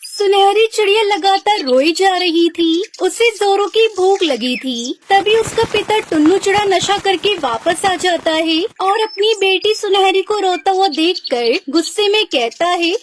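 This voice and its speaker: A woman's voice: extremely high pitch, measured pace, hardly any pauses, a little hiss or hum. A woman narrating a children's cartoon story from a script.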